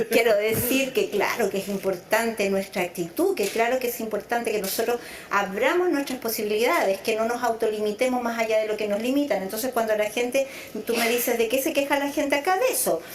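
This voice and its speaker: A woman's voice: fairly high pitch, animated, moderate pace, echoey room, noisy background. A middle-aged woman, a psychologist raised partly in Venezuela, speaking thoughtfully in an interview, stretching words for emphasis. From Chile, raised in Venezuela. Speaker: psychologist guest. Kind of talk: conversational interview.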